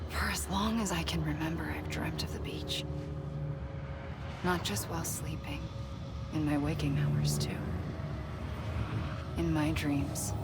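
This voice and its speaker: An actress playing an enigmatic, sorrowful woman in a video game, speaking in a hushed, breathy, solemn way with dramatic pauses. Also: low-pitched. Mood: sorrowful, haunted. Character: enigmatic, sorrowful woman confessing apocalyptic visions. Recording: loud music under the voice. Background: loud background music.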